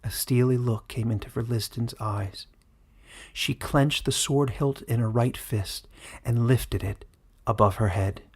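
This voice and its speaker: A man narrating a fantasy story in a dramatic, scripted style with measured, suspenseful delivery. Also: medium pitch, darkish tone, husky. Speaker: author and narrator.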